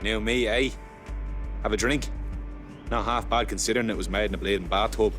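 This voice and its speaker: An actor playing a jaded, sardonic, hard-drinking camp detainee in a video game. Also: male voice, on the low side, fairly even intonation, brisk, a bit bright, natural voice. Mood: sardonic, weary. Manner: sardonic, world-weary, slangy. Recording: music behind.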